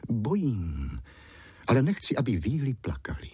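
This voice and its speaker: A man's voice: mid-range voice, huge pitch swings, a little mellow, breathy edge, no room sound, clean studio sound, heavily acted. A man voicing a brave, caring peasant woman in a storybook tale, plain-spoken and concerned. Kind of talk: storybook fairy-tale character.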